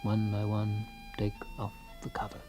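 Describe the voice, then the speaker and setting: A man's voice: lowish voice, completely flat delivery, unhurried, music in the background, close to an everyday voice. An actor playing a fussy, self-absorbed husband in a radio comedy-drama, rambling and savouring small things, stoic about his creeping paralysis. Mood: wistful relish.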